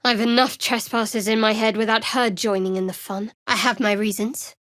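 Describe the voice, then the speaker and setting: A young woman cleric from a fantasy video game, played by an actress, with a slightly high voice. She is secretive and devout, speaking in a measured, wry way that keeps others at a distance. The sound is dry and close. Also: steady tone, a bit bright, no echo, lightly acted. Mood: dry, sardonic. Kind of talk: fantasy role-playing game voice acting.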